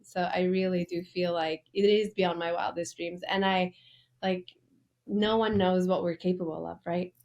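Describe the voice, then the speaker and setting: A woman's voice: on the low side, steady tone, normal speed, darkish tone, lo-fi sound. Enthusiastic woman author telling her story. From Norway. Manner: enthusiastic storytelling, loose fillers. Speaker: guest author. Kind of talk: interview.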